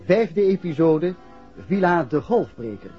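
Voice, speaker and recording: High-pitched male radio announcer over loud music. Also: animated, unhurried. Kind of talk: scripted title and credits announcement. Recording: dull 1960s radio recording.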